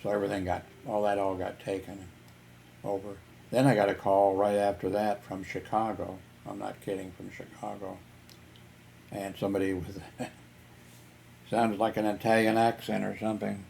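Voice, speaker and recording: Man, vlogger, rambling storytelling, deep voice, faint hiss behind. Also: little pitch movement, normal speed, creaky, a little mellow. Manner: rambling, lots of "you know", chuckles. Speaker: YouTuber. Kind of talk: casual storytelling vlog.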